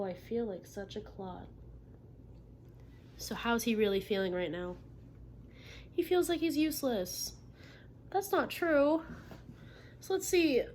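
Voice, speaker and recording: A woman reading a picture book aloud as a teacher, expressively, pausing to ask questions and confirm answers. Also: high voice, darkish tone. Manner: instructive, checking in with listeners by questions and affirmations. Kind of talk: interactive children's read-aloud, expressive storybook narration with questions. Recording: noticeable background noise.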